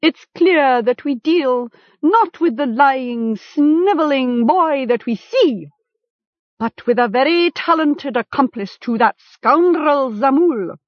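A woman's voice: high voice, big pitch swings, slow, no echo, highs cut off, voice pushed up, exaggerated voice. A woman voicing a mocking male chieftain in a storybook, theatrical and accusatory. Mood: mock courtesy. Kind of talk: storybook character acting.

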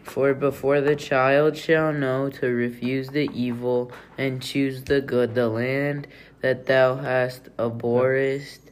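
Slow-paced man reading scripture aloud. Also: mid-range voice, flat delivery. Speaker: family member reading scripture. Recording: faint background noise.

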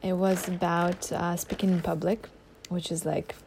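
Low gravelly woman chatting casually. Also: level tone, moderate pace, a little mellow, slight background noise. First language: not German. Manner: rambling with many ums and restarts. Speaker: podcast host. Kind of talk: casual solo podcast chat.

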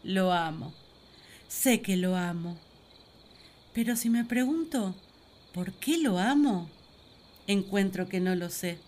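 A woman plays the first woman in a radio play, devoted and thoughtful. Her voice sits at a medium pitch. She reflects on her feelings gently and earnestly, as in a spoken diary. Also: lively intonation, slow pace, long pauses. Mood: wistful, loving. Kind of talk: radio drama diary monologue.

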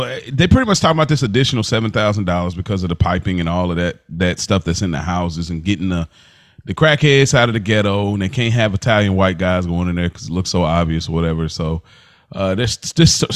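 A man's voice: low voice, fairly quick, no echo, studio quality. A man co-hosting a podcast, recapping television in casual, slangy, amused conversation. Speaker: podcast co-host.

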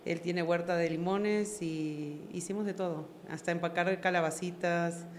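A woman's voice: on the low side, steady tone, unhurried, slight background noise. A woman, a podcast guest telling her story, hesitant and searching for words. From Argentina. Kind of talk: casual interview chat.

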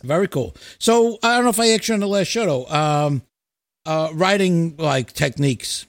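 A man with a fairly high voice hosts a laid-back chat about music. He talks bluntly and informally, cursing freely. The sound is close and dry. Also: animated, moderate pace, no room sound. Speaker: podcast host and musician.

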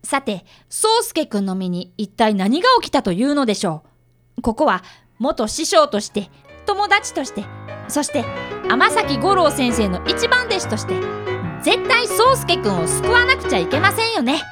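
Radio drama schoolboy played by a woman: polite, eager apprentice sleuth, high pitch, lively swings. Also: normal speed, faint music behind. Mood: eager narrating. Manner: polite, earnest. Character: earnest polite fifth-grade boy, apprentice detective. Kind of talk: radio drama acting with first-person narration.

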